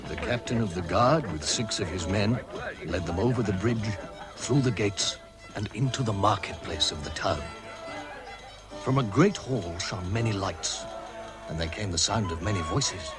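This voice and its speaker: A man narrating a radio drama in a measured storybook style. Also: on the low side, animated, over loud music.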